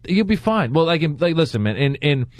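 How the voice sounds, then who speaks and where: Fast-talking man telling stories. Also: on the high side, no room sound. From Iran. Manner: animated storytelling with quick repeated starts. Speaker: guest comedian. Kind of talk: casual chat.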